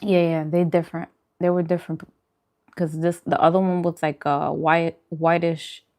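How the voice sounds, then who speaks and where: A woman's voice: fairly low pitch, flat delivery, moderate pace, a little mellow. A woman hosting a mukbang, chatting casually to viewers between bites with murmured reactions. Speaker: mukbang host. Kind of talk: casual eating chat to viewers.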